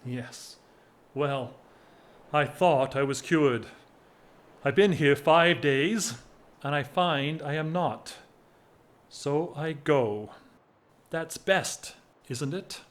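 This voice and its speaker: Slow, wry English gentleman, lovesick. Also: fairly high pitch. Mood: resigned confession. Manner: wry, measured, theatrical. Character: whimsical lovesick English gentleman of forty-one, self-mocking. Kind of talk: period audio drama acting.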